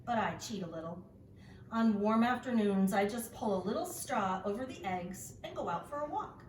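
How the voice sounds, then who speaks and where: A woman's voice: medium pitch, expressive, normal speed, roomy sound, distant mic, a little hiss or hum, lightly acted, poor audio. Woman playing a breezy, lilting storybook mother goose. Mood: breezy, matter-of-fact. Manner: stutters by repeating word endings, breezy. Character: chatty, unbothered female goose sitting on eggs.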